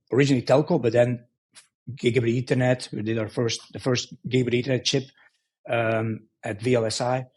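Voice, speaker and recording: Slightly husky middle-aged man. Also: mid-range voice, a little mellow. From Belgium. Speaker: podcast guest, engineering executive. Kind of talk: conversational interview answers.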